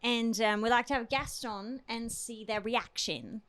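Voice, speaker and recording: A woman's voice: a little high, moderate pace, fairly bright tone. A woman co-hosting a casual comedy podcast, riffing playfully on jokes and laughing often. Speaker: podcast co-host.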